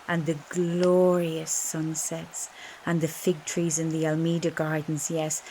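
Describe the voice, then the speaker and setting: A woman performing a slow, low-voiced, earthy Irish wife. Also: warm tone, poor audio. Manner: dramatic, unhurried, swinging between emphatic bursts and breathy murmurs. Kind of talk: literary stage monologue, stream of consciousness. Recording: live concert recording with background noise.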